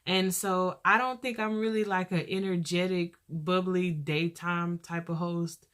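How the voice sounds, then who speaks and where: A young woman hosting her own podcast with a soft voice, talking casually and earnestly, rambling and pausing as she goes. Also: fairly low pitch, fairly even intonation, clean tone. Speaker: podcast host.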